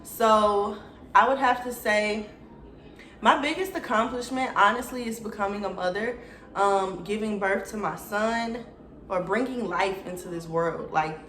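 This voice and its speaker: Young woman in her twenties, Atlanta accent, relaxed slangy vlogger talking to the camera, echoey room, faint hum behind. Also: mid-range voice. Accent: Atlanta Southern US. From Atlanta, Georgia, USA. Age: 20s. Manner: chatty, slangy, addresses viewers directly. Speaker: YouTuber. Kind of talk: casual get-to-know-me Q&A.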